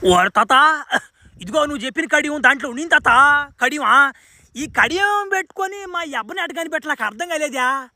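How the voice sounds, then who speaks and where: A man's voice: very high-pitched, lively intonation, normal speed, sharp tone, no echo, full character voice. A man voicing a brash, crude young superhero son in an amateur cartoon dub. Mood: curious, excited. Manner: colloquial, crude, exclamatory. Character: brash, foul-mouthed young superhero son, easily baffled.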